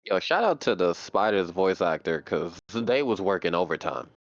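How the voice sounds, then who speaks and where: A man's voice: low-pitched, lively intonation, moderate pace, dry recording, muffled recording. A young man co-hosting a casual anime discussion stream, laid-back and slangy with dry humor. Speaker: stream co-host.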